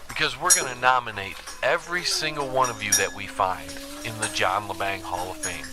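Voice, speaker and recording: A man's voice: on the low side, brisk, music in the background. A man hosting talk radio in a combative monologue, sarcastic and emphatic. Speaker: radio talk show host.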